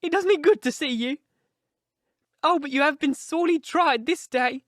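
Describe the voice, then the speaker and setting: A man's voice: very high-pitched, sing-song, brisk, fairly bright tone, no echo, clean studio sound, pitched above the performer's own voice, exaggerated voice. A man voicing an anxious, devoted old housekeeper woman in an audiobook story, fretful and effusive. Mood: tearful, tender. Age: older.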